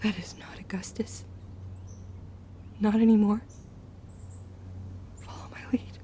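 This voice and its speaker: A woman playing a grim, resolute young witch in an audio drama, measured and solemn in delivery. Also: medium pitch, little pitch movement, dark tone, in a whisper, natural voice. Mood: urgent. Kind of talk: scripted first-person audio drama narration.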